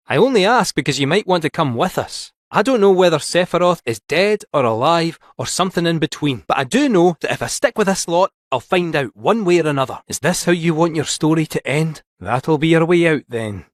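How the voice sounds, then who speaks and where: A small, wily robot cat from a video game, acted by a man, chattering in a Scottish accent. The delivery is theatrical and folksy, with pitch that leaps about in a lively way. It is recorded very dry, with no room sound. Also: a little fast, few pauses, dry recording, natural voice. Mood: grave, probing. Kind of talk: scripted video game character dialogue.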